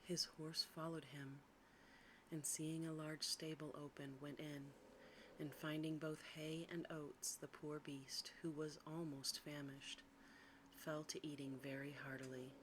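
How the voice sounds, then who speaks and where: A woman reads a bedtime story in ASMR style, in a soft, breathy, hushed and soothing whisper. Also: deep voice, flat delivery, unhurried, low-quality recording. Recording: clearly audible background noise.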